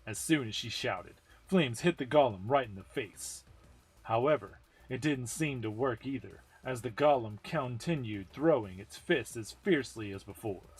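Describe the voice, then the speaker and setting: A man's voice: mid-range voice, animated, normal speed, husky, poor audio. A man giving a dramatic amateur reading of a game story as narrator. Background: music.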